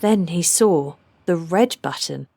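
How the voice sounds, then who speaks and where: A woman narrating a children's storybook aloud, with deliberate, rhythmic delivery of rhymed phrases. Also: fairly low pitch, expressive, gravelly, mellow, no echo, studio quality. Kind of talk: scripted storybook read-aloud.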